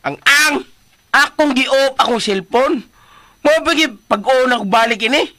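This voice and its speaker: An actor in a Visayan Filipino radio drama playing an excitable, clumsy household helper in a whiny, exclaiming comic manner. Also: high voice, unhurried, no room sound, exaggerated voice. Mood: agitated, comic.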